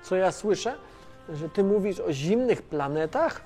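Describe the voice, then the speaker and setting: An actor playing an excitable, scatterbrained, inquisitive man who rambles and repeats himself in a children's educational audio drama. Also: sits high, a little slow, soft background music. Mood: flustered, excited. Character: Tomasz, scatterbrained, excitable, inquisitive male friend of space probe. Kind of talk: children's educational radio play.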